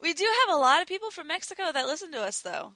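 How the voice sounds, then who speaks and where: A woman's voice: sits high, sing-song, racing, pushed and bright, dry recording. A woman co-hosting a podcast in casual chat, laughing often and trailing off mid-sentence. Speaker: podcast co-host and editor.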